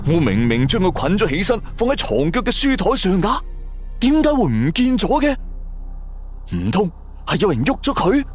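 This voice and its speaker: A man with a Cantonese accent narrating a first-person audio drama in a colloquial, theatrical storytelling style. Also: high voice. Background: background music.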